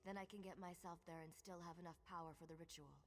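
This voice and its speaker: A woman's voice: lowish voice, fairly even intonation, quick pace, a little hiss or hum, close to an everyday voice. A woman voicing a plucky, wry mage in a video game, speaking in a conversational, matter-of-fact way. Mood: purposeful. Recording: game dialogue with music behind.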